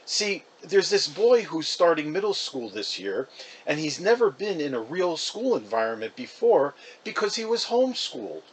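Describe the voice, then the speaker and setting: A man voicing a polite, proud mother gently persuading her child. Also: on the high side, very animated, normal speed, a little hiss or hum, voice pushed up, poor audio. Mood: proud, flattering.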